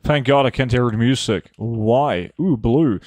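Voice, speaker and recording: A young man streaming a game, chatting casually and answering viewer questions in a relaxed, offhand way. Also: mid-range voice, measured pace, no room sound. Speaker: gaming streamer reading chat. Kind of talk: casual live gameplay chat.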